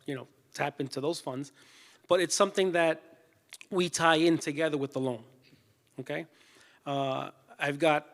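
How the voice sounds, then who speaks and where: A man from Puerto Rico speaks informally as a panelist, explaining things and often checking in with the listeners. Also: a little high. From Puerto Rico, born in New York City. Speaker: panelist, small business loan adviser. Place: conference room. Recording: echoey hall with long reverb.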